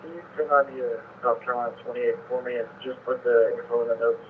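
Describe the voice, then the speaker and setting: A man's voice: medium pitch, fairly even intonation, fast, narrow, tinny audio, a little hiss or hum. A male police officer making requests over a two-way radio on a scanner feed.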